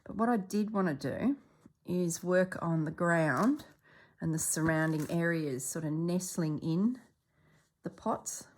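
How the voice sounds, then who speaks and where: A middle-aged woman from Australia chatting casually as she stitches, a little congested and clearing her throat, sometimes dropping to soft murmurs. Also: lowish voice, measured pace. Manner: chatty, relaxed, drops into soft murmurs while working. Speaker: stitching vlogger. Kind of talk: casual chat while stitching.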